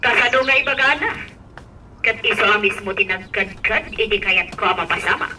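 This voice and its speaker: An actress playing an earnest middle-aged aunt in a radio drama, with a Filipino accent. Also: female voice, medium pitch, a little fast, recorded from a distance, loud music behind the voice, natural voice, rough recording. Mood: grave, explaining.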